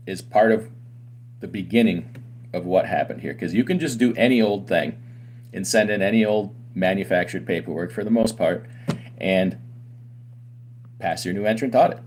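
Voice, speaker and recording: A man's voice: lowish voice, a little mellow, a little hiss or hum. A man who runs a trucking company gives an argumentative, opinionated talk to his audience, with many rhetorical questions. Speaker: owner of a trucking company and channel host. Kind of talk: opinionated commentary to camera.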